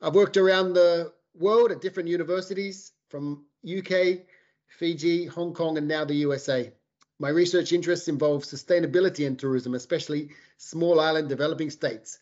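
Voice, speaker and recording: Brisk man, professor and producer. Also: a little high, fairly even intonation, a little mellow, lo-fi sound. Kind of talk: casual self-introduction.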